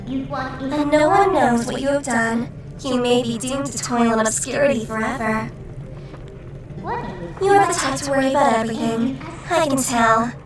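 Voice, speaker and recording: A woman's voice: high-pitched, a little slow, pauses often. A woman voicing an impish, teasing female shadow creature in a fan-made video game dub, with a mocking, sardonic manner. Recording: roomy, distant mic under music.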